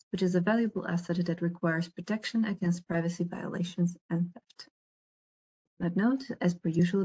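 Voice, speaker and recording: A woman speaking as the host of a debate tournament, making formal announcements over a video call with a husky voice. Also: lowish voice, warm tone, lo-fi sound.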